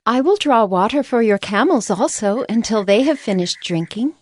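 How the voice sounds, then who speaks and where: An actress playing a young, courteous, helpful maiden in a dramatized scripture reading. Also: female voice, on the high side, lively intonation, normal speed, a little mellow, dry recording, studio quality.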